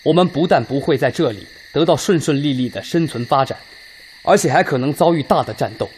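A man playing an earnest, resolute Red Army commander in a radio drama, speaking in a measured, declamatory way. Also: on the high side, darkish tone, a little hiss or hum, lightly acted. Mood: grave, analytical. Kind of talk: Chinese radio drama acting.

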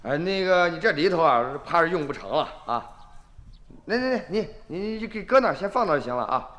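A man's voice: fairly high pitch, very animated, a little fast, big-room sound, noisy background. An actor playing a sly male grave robber posing as a junk collector in a radio drama, coaxing and probing as he talks. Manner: wheedling, probing small talk.

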